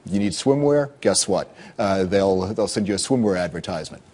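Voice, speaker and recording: An American man speaking as an expert guest in a news interview, explaining things in a measured way. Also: low-pitched, expressive, darkish tone. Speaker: expert guest, cybersecurity consultant and former military intelligence officer.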